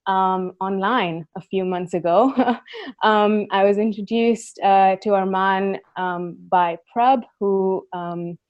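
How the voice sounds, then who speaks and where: A woman hosts a webinar in a relaxed, welcoming way. She fills her speech with ums and small restarts, and her voice sits in a middle register. The sound is dull and lo-fi, from a computer mic on a video call. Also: mid-range voice, takes it slowly, clean tone. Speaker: host and volunteer. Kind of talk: casual webinar chat.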